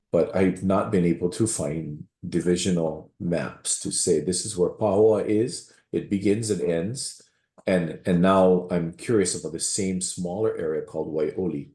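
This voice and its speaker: A man's voice: low voice, warm tone, echoey room. A man from Waianae, a pastor giving a rambling public comment with many restarts at a meeting. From Waianae, Hawaii.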